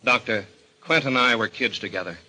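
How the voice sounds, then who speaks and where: An actor playing a loyal, disbelieving brother and friend, blunt and urgent, in old radio mystery style. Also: male voice, medium pitch, fairly quick, pushed and bright. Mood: determined.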